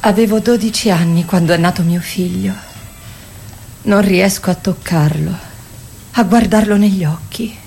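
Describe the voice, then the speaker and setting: A woman's voice: medium pitch, normal speed, gravelly, dark tone, slight background noise, lightly acted. An actress in a radio play voicing a traumatised captive young mother, sorrowful, pleading and stark. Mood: sorrowful, longing. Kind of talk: theatrical radio play monologue.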